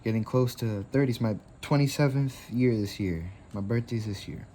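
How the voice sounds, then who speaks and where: A man's voice: medium pitch, moderate pace, dark tone, a little hiss or hum. A man in his twenties hosting a casual craft tutorial, rambling easily with lots of fillers. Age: 20s.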